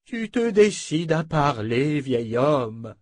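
A frail old abbess, voiced by a male narrator, talks slowly in a raised, husky voice. She is bitter and sharp-tongued though drained of strength, in fantasy audiobook style. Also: a little high, very animated, no echo, voice pushed up, full character voice. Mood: chiding. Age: older. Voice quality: breathy, drained.

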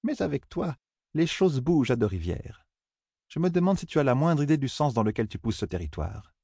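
A man voicing a softly musing middle-aged sorceress who murmurs pensively. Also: medium pitch, very animated, brisk, vocal fry, husky, no room sound, clean studio sound, voice pushed up, exaggerated voice.

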